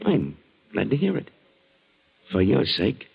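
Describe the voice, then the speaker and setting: An actor playing a sly, smug, threatening creditor with a gravelly, raspy voice in a 1940s radio crime drama. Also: male voice, fairly low pitch, wildly swooping pitch, takes it slowly, full character voice. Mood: smug, menacing. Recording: dull, lo-fi 1940s radio recording.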